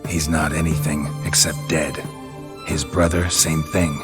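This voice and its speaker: An actor playing a weary middle-aged witcher who speaks in terse, dry, deadpan lines, with a game score behind him. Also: very deep voice, measured pace. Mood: stern. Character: gruff, weary middle-aged male witcher monster hunter. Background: game music score.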